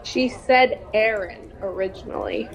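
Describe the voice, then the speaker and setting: A woman's voice: mid-range voice, very animated, a little slow, vocal fry, dull audio. A young female performer playing a blunt, cheeky adventurer girl in a radio-style serial, with a dry, sceptical manner.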